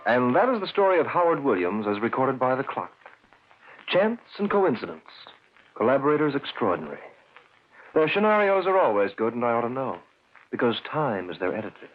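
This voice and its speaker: An actor voicing a personified clock who narrates the story with a theatrical, lilting delivery. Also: male voice, medium pitch, sing-song, a little fast, natural voice. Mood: wry genial. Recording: tinny, faintly hissy old radio recording.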